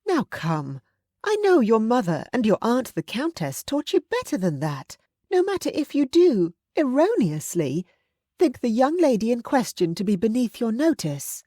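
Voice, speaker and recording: A woman's voice: a little high, sing-song, moderate pace, warm tone, dry recording, clean studio sound, voice pushed up. A woman reading aloud voices a forthright middle-aged aunt, pointed and scolding in a raised voice.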